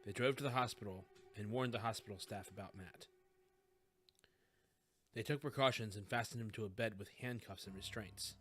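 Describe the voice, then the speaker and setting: A man's voice: fairly low pitch, normal speed, a bit bright. A man reading scripted fiction as an audiobook narrator.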